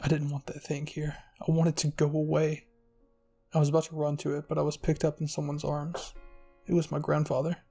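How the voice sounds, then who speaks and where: A man's voice: a little high, completely flat delivery, fairly quick, gravelly, warm tone, faint music behind. A man reading a fan fiction story aloud as a narrated ASMR roleplay.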